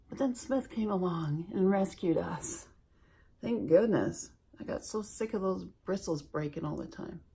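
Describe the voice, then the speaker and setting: Slow-talking alaskan lady doing her makeup on camera, super gravelly and a bit husky. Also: mid-range voice, warm tone. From Alaska, USA. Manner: slow-talking, chatty, self-deprecating. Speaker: beauty vlogger. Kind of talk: chatty makeup tutorial.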